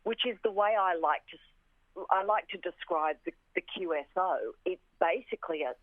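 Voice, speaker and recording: A woman's voice: fairly low pitch, moderate pace, no echo. A woman speaking enthusiastically and emphatically as a radio interview guest, often repeating phrases for emphasis. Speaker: orchestra representative, interview guest. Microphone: telephone. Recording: tinny, band-limited phone line.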